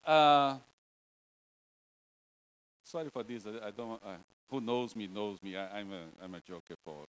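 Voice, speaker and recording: A man's voice: on the low side, a little slow, clear, resonant tone, low-quality recording. A man from Brazil, preaching an informal sermon in English with a Brazilian Portuguese accent, broken phrasing and a joking, self-deprecating manner. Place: church.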